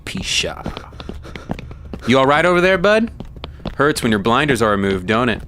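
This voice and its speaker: A man's voice: mid-range voice, very animated, normal speed, fairly bright tone, slight background noise. A man playing a brash, foul-mouthed young cryptid hunter with slangy swagger in an audio drama. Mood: cocky, mocking.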